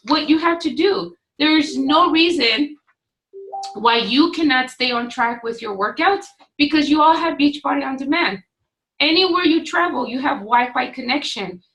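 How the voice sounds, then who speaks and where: A woman in her forties, a fitness coach talking straight to her webcam from a small home office, firm and no-nonsense, her voice slightly hoarse. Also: high voice, a bit bright, rough recording. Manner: firm, no-excuses, pep-talk delivery. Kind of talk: direct-to-camera coaching talk. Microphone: webcam at desk distance.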